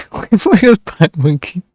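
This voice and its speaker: A woman with a husky voice giving casual, offhand commentary as a streamer reacting to a game. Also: fairly low pitch, darkish tone, no room sound, clean studio sound.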